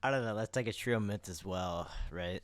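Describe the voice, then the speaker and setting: A man's voice: lowish voice, moderate pace, a little hiss or hum. A man co-hosting a podcast in informal, playful banter, speaking close to the microphone. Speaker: podcast co-host.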